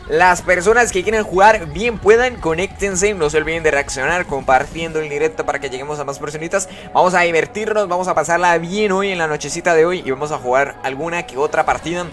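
Man, streamer, casual chat, reads chat aloud, slightly high voice, faint background music. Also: a bit bright. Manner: chatty, greets viewers and reads chat aloud. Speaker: live streamer playing with followers.

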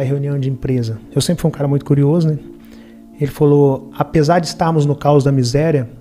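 A man's voice: a little high, dark tone. A man in his forties with a Minas Gerais Brazilian Portuguese accent, telling his life story as a podcast guest, earnestly acting out the quoted voices of others. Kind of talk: personal life story told in a podcast interview. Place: studio with brick wall and purple lights. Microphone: studio microphone on a boom arm.

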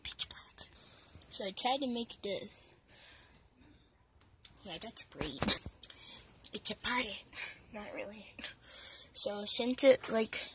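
A young girl with a high-pitched voice giggles and rambles, often half-whispering, as she films a casual vlog on her phone in a small dark closet. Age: young, a minor. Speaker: vlogger filming her gaming corner. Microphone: handheld phone camera. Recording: noisy phone recording with clicks.

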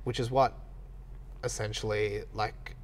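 A man's voice: lowish voice, flat delivery, a little slow. A man, chatting candidly as a podcast guest and laughing at himself now and then. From Australia. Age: 20s. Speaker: podcast guest, gym owner. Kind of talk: casual podcast chat.

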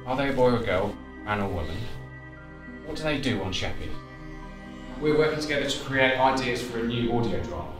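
A man's voice: medium pitch, animated, a little fast. A middle-aged British man encouragingly leading a drama workshop for young people. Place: echoey school halls and classrooms. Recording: echoey room, distant mic, music behind.

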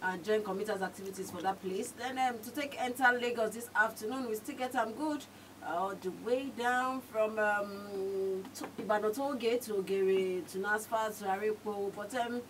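A Nigerian woman reads a radio traffic update in a mid-pitched voice, pausing on fillers. She sits a little off the mic, with faint hiss around her. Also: recorded from a distance, poor audio. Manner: halting, with many fillers.

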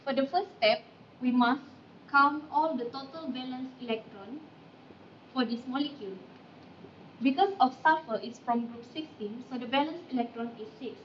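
Echoey, high-pitched young woman. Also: moderate pace. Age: young adult. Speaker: student presenter. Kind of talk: step-by-step classroom explanation. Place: classroom at a whiteboard. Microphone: camera across the room. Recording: echoey, dull, distant mic, faint noise.

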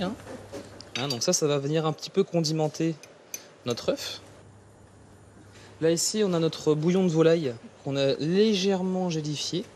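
Halting male chef, noisy background. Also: fairly high pitch, a little mellow. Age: 30s. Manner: halting, searching for words. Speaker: restaurant chef, interviewee. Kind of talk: spontaneous explanation in TV interview. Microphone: lapel mic.

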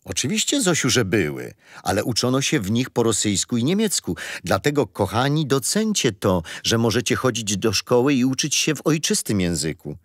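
An actor playing a patient, gentle grandfather telling children a history story in a radio play. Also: medium pitch, big pitch swings, normal speed, few pauses, no room sound, clean studio sound. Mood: patient, fond. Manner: gentle, didactic.

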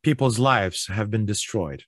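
A man with a Ukrainian accent giving an online Bible study sermon, earnest and pleading, slowing down on grave points. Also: fairly low pitch, lively intonation, fairly bright tone, dry recording, clean studio sound. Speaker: pastor.

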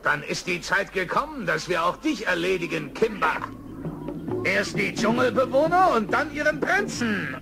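An actor voicing a vengeful animal villain in a children's radio play, gravelly, theatrical and menacing. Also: male voice, high-pitched, very animated, moderate pace, exaggerated voice. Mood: gloating. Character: vengeful, gloating animal villain, bitter outcast boss. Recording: vintage radio play with music underneath.

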